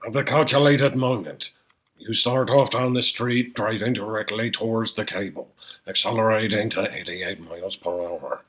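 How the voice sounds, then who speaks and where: A man voicing an excitable, eccentric old scientist in a comic table read, raspy and theatrical, swinging from hushed to booming. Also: moderate pace, natural voice. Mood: earnest, explanatory.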